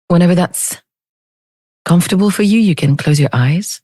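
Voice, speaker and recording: A woman leading a guided meditation in a gentle, unhurried manner with long pauses between phrases. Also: lowish voice, dry recording, clean studio sound. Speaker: meditation teacher.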